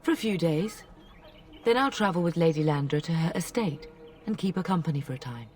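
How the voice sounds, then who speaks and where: An actress playing a middle-aged noblewoman mother, gentle but firm, in a video game. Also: low voice, lively intonation, brisk, recorded from a distance, faint music behind. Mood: composed.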